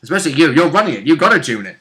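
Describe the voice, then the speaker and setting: Very bright, animated man bantering about games. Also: a little high, rapid-fire. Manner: jokey, mildly sweary, exclamatory. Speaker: player and co-host on a role-play vidcast. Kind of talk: casual banter.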